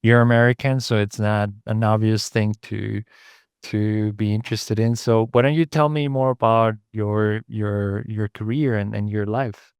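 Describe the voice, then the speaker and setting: A man's voice: on the low side, fairly even intonation, measured pace, clean tone, no room sound. A man hosting an interview, asking long questions eagerly and repeating words as he forms them. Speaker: podcast host interviewer.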